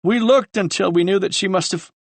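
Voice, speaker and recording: A man voicing a guilt-ridden young man who confesses haltingly, on the edge of tears. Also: high voice, dry recording, voice pushed up. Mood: anguished. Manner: halting, breaking with remorse. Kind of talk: audiobook character reading.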